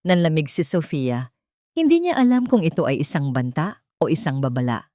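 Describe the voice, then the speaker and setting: A woman narrating a scripted dramatic story in a measured, solemn manner. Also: low-pitched, very animated, mellow, no room sound, studio quality.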